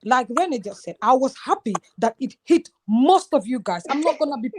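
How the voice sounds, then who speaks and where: A woman's voice: high voice, animated, normal speed, darkish tone. A woman from Gambia, a YouTuber, speaking with passion in a casual group discussion and often quoting herself. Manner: passionate, emphatic storytelling, quotes herself often.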